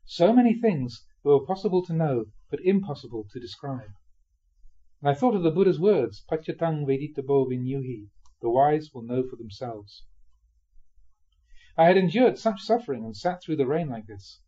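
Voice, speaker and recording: A man reading a spiritual memoir aloud expressively, in a measured way with dramatic pauses. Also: fairly high pitch, sing-song, mellow, breathy edge, lo-fi sound. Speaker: reader of Buddhist teachings.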